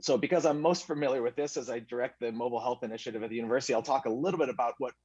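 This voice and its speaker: A man, a physician, giving a conference presentation, halting with many fillers and restarts. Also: medium pitch, darkish tone, husky, dry recording, lo-fi sound.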